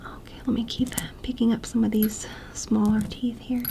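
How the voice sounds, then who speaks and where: A woman doing a soft-spoken ASMR roleplay, mostly whispering and talking gently. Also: fairly high pitch, flat delivery, normal speed, gravelly. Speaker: ASMR creator. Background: tapping and clicking sounds.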